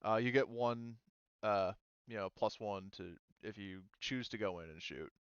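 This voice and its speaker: A man running a tabletop roleplaying game as game master, explaining rules and thinking aloud in a halting way. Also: lowish voice, dull audio, background noise.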